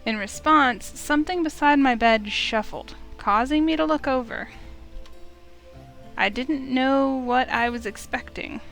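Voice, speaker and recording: Higher-voiced woman gaming host. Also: measured pace, fairly bright tone. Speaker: let's play host. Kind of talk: casual intro and read-aloud story narration. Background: game music.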